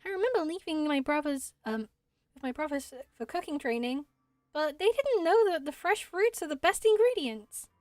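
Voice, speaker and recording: A woman's voice: high voice, animated, normal speed, dry recording, soft background music. A woman voicing a timid, dreamy little forest spirit for a video game, hesitant and rambling in a wide-eyed way. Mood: wistful, earnest.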